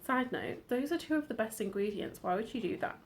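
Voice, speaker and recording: A woman's voice: on the high side, lively intonation, fairly quick, a little hiss or hum. A woman from the United Kingdom, a book vlogger in casual chat, talking through her finds in a chatty, rambling way full of side notes and fillers.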